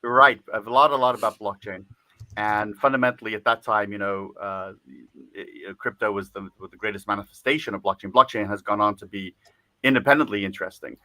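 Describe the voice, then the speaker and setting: A man's voice: fairly low pitch, steady tone, brisk, sharp tone, no echo, rough recording. A man being interviewed as an author, speaking casually with lots of fillers, restarts and little laughs. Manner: chatty, many fillers and restarts, laughs often. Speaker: guest author.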